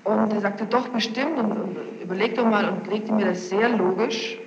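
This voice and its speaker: A young woman recounts events as a witness under questioning, insisting and repeating herself. Her voice sits at a middling pitch on an old archival tape, muffled and noisy, with long room reverb. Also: normal speed. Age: young adult. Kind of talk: spontaneous testimony recounting events. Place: reverberant room, taped interrogation.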